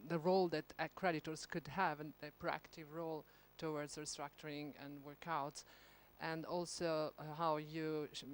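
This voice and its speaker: A woman in the audience of a conference asking a long, earnest question with many restarts. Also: sits low. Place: conference hall.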